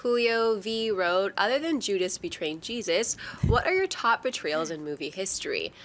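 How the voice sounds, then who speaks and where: A woman's voice: medium pitch, very animated, moderate pace. A woman reading scripted news items and questions to a panel. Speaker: news reader for a movie show.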